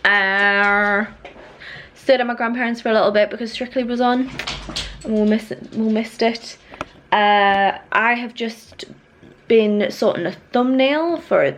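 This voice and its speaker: A woman's voice: fairly high pitch, fairly even intonation, unhurried, a bit bright, slight background noise. A young British woman chatting casually to her vlog viewers in an informal, rambling way. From United Kingdom. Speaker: vlogger.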